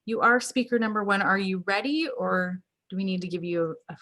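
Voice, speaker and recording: A woman's voice: mid-range voice, fairly quick, sharp tone. A woman hosting an online club meeting, upbeat and organized as she runs through roles and reminders. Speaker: toastmaster of the meeting. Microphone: video call.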